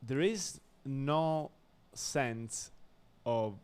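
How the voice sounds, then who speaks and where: A man's voice: mid-range voice, drawn-out words, creaky, darkish tone, clean tone. A man from Italy in a casual, curious chat as a podcast host.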